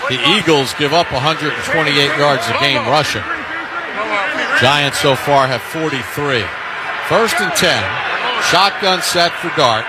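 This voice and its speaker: High-voiced football radio announcer, loud background. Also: male voice, a little slow, very noisy. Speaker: play-by-play announcer. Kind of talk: live radio football commentary. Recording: loud stadium ambience behind commentary.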